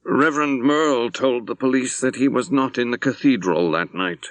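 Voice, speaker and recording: A man's voice: medium pitch, no echo, pitched above the performer's own voice, lightly acted. A man playing a troubled bishop, dry close sound. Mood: careful, troubled. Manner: formal, hesitant, deliberate. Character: troubled, careful senior male bishop.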